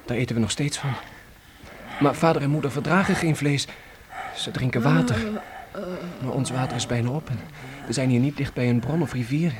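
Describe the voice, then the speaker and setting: A man's voice: mid-range voice, brisk, crowd noise, close to an everyday voice. An actor playing an earnest, dutiful fourteen-year-old pioneer boy in a radio play, polite and slightly hesitant. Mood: dutiful, subdued. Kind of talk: Dutch radio play acting.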